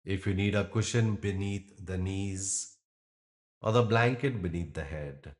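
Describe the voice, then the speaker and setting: A man guiding a meditation as a yoga nidra teacher, speaking in gentle instructions with long pauses. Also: deep voice. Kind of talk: guided meditation.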